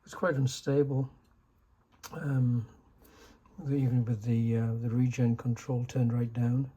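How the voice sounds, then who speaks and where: A man giving a casual, unscripted technical demonstration in a halting, low-key way, like a restorer explaining equipment. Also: little pitch movement, dark tone, breathy edge. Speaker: vintage radio restorer demonstrating a set.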